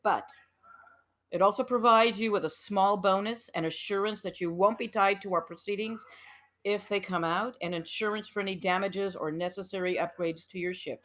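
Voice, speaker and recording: A woman's voice: medium pitch, normal speed, a bit bright, lightly acted. A woman at a film table read playing a company director with a formal, businesslike manner.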